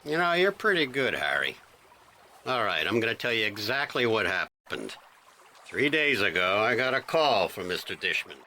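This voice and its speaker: An actor playing a cagey, gruff police detective in a radio drama, folksy and blustering. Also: male voice, on the low side, very animated, normal speed, pushed and bright, slight background noise, exaggerated voice, rough recording. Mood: confessing.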